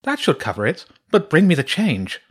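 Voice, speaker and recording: An eccentric badger priestess from a storybook, played by a man, pitched far up and swinging wildly in tone. She is haughty and theatrical, given to grumbling and exclaiming. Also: wildly swooping pitch, normal speed, husky, full character voice. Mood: peevish, hungry. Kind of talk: character voice in a children's audiobook.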